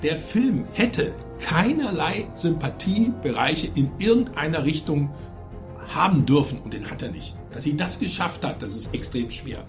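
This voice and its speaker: A man with a slightly high voice argues his view as a film critic in a review discussion. He is insistent and emphatic, pressing each point. Music plays behind him. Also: lively intonation, moderate pace.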